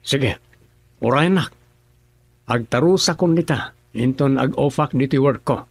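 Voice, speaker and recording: An actor playing an irritable, two-faced young husband in a radio drama. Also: male voice, mid-range voice, a little slow, gravelly, husky, dry recording. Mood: smooth, furtive.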